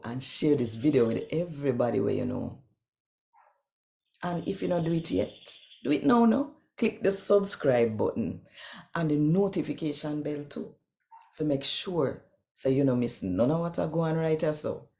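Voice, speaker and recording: Jamaican lady reading scripture out loud, mellow voice, takes her time, talks right to you. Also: low-pitched, creaky. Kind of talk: expressive scripture reading and direct address to viewers.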